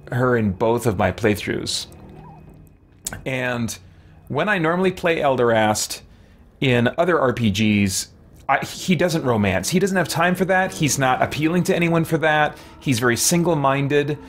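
A man in his forties chats casually while streaming a game from a room. He rambles and thinks aloud at a middling pitch. There is clear noise behind him. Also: animated, a little slow. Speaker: streamer playing a role-playing game. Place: indoor room lined with shelves.

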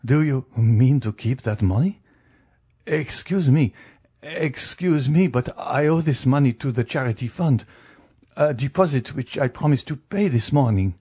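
A man's voice: medium pitch, lively intonation, unhurried, warm tone, slightly rough, pitched above the performer's own voice. A man voicing a nervous, flustered male banker in an audiobook, hesitant and trying to keep his composure. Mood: stammering, alarmed. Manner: stammering, hesitant. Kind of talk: audiobook character voice.

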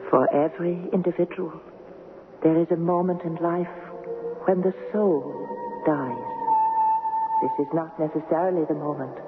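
An actress playing an earnest, dreamy young engaged woman in a 1970s radio drama, speaking with dramatic pauses. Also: sits low, narrow, tinny audio. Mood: haunted, reflective. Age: young adult. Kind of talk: 1970s radio drama acting, narrating and dialogue. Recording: noisy, thin band-limited old radio broadcast.

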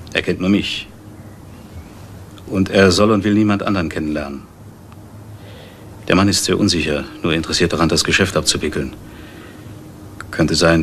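An actor playing a cold, businesslike man who orders a killing in a crime radio play, measured, formal and matter-of-fact. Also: sits low, fairly even intonation, fairly bright tone, a little hiss or hum.

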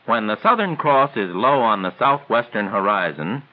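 A man reads scripted 1940s training film narration in a measured, formal, instructional way. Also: lowish voice, lively intonation. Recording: tinny band-limited 1940s film soundtrack.

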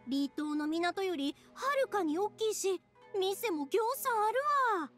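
Bubbly high-voiced Kansai girl. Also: normal speed. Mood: excited, amazed. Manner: excitable, chatty, friendly. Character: bubbly, warm-hearted young woman from a festive town. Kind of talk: anime game voice acting. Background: game music.

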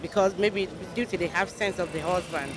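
A man's voice: high-pitched, brisk. A young Nigerian man in a street interview, stumbling and restarting his phrases. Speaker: passerby interviewee. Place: busy city roadside outdoors. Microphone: handheld mic held by interviewer. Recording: outdoor street with traffic noise.

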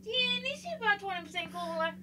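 A woman's voice: very high voice, animated, fast talker, pushed and bright, a little hiss or hum. A woman co-hosting a reaction video, adding short casual asides from off to the side. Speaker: reaction co-host.

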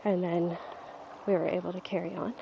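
A woman with a stuffy voice from a cold, calmly explaining her training as she rides in an outdoor arena. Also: lowish voice, brisk, creaky, a little hiss or hum. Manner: calm, coaching, talks while riding. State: stuffy, getting over a cold. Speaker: dressage rider and trainer. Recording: dull lo-fi outdoor sound. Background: faint outdoor ambience and horse.

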